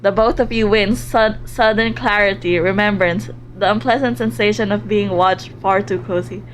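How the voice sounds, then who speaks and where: A woman livestreaming a visual novel, reading story text aloud and commenting on it in an animated, reactive way. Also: mid-range voice, moderate pace, slight background noise, low-quality recording. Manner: animated, reactive, giggly, drops into hushed narration. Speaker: streamer playing a visual novel live.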